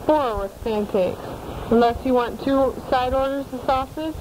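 A woman with a high voice takes a phone order briskly, in a businesslike way. Clear noise runs under the call. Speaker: restaurant employee.

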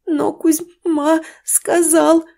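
A woman voicing a frantic village mother who scolds loudly. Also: steady tone, normal speed, natural voice. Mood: frantic scolding. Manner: shrill scolding, wailing.

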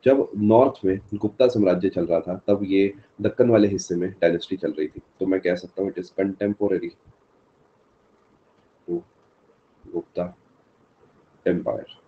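A man's voice: low voice, a little slow, pauses often, dull audio. A male teacher with a sore throat giving a live exam-prep lecture, answering students from the chat by name and mixing in English terms.

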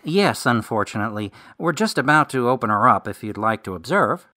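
A man's voice: mid-range voice, expressive, a little fast, bright, forceful tone, no room sound, clean studio sound. An actor playing a medical examiner who keeps his distance, speaking in a fussy, wary way. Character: nervous medical examiner at an autopsy.

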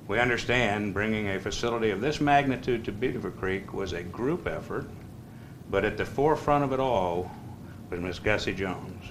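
A man's voice: on the low side, noisy background. A man acting as mayor, chairing a city council meeting and reading a formal proclamation. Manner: measured, reads prepared text then chats loosely. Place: council chamber.

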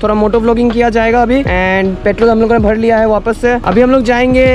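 High-voiced, excitable young man vlogging. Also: fast, slight background noise. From Silchar, India. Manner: excitable, full of exclamations, mixes English words into Hindi. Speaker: travel vlogger. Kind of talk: casual travel vlog narration.

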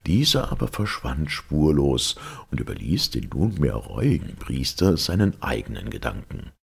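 A man narrating a literary legend as a storyteller, in a measured, dramatic manner. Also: very deep voice, very animated, vocal fry, breathy edge.

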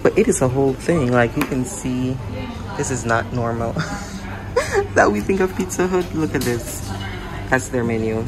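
A woman's voice: very deep voice, big pitch swings, a little slow, pauses often, low-quality recording. A woman vlogging casually to camera, chatty and upbeat, calling her viewers "you guys" as she walks the streets and sits in small cafes. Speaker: travel vlogger. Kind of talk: casual vlog chat to camera. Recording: loud background music over the voice. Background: loud music.

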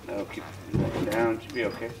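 A man's voice: lowish voice, flat delivery, moderate pace. A man chatting casually while working, in a brief, matter-of-fact way. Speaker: helper in the shop. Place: open shop doorway beside a trailer. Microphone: far camera. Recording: distant, very noisy workshop recording.

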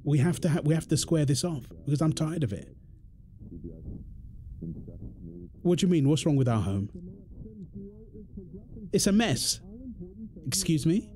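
A man's voice: fairly high pitch, very animated, normal speed, pauses often, a little mellow, a little hiss or hum. A British man playing an exasperated, defensive husband in an audio roleplay, arguing in an insistent, repetitive way. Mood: heated, indignant. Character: exasperated, defensive husband and father quarrelling indignantly. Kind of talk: dramatic one-sided argument roleplay.

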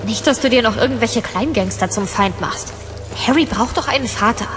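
A high-voiced young woman with a dry, sceptical edge , played by an actress. She speaks as a sarcastic young mother in a German radio play, with music underneath. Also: fairly quick, lightly acted. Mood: dry, teasing. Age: young adult. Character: sceptical, sarcastic young mother apartment-hunting with her boyfriend. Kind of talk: German audio drama acting, naturalistic dialogue. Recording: studio audio drama with music underneath.

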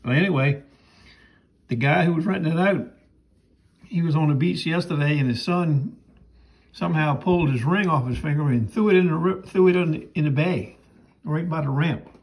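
A man's voice: fairly high pitch, normal speed, creaky, long pauses, low-quality recording. An older man narrating a casual home vlog, rambling and folksy, filming with a phone around his house and yard. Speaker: knife sharpener vlogger.

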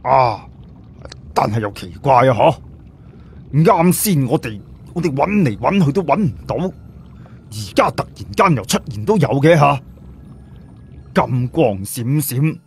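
Guy doing an old village chief, easily amazed, repeats himself, animated, audiobook story. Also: mid-range voice, a little slow, pauses often, a little hiss or hum. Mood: excited, puzzled. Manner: repetitive, exclamatory.

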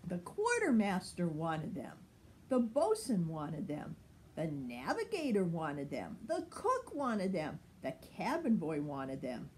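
A woman's voice: lowish voice, huge pitch swings, takes it slowly, a little mellow, a little hiss or hum. A woman in her sixties reading a children's picture book expressively, lingering dramatically on words. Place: sunny room at home by large window.

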